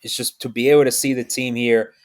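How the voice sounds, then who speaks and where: A man from Miami, speaking as a podcast guest in a mid-range voice. He talks earnestly and reflectively but haltingly, stumbling over restarts and repeating words as he gathers his thoughts. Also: medium pitch, flat delivery. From United States, raised in Miami. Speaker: podcast guest, football fan. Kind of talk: casual interview.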